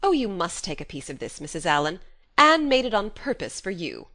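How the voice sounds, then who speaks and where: A woman voicing a stern older woman guardian who talks in a brisk, scolding, dry way. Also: lowish voice, sing-song, bright, forceful tone, full character voice. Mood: coaxing.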